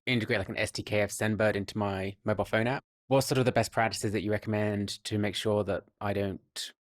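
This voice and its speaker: Male podcast interviewer, quick delivery, slightly low voice, informal and tentative in how questions are put. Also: fairly even intonation, no echo, clean studio sound. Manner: loosely phrased, hedging questions. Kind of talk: casual technical interview questions.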